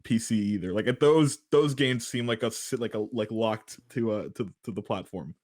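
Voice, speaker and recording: A man's voice: on the low side, normal speed, darkish tone. A man on a gaming talk show panel, thinking aloud in casual discussion.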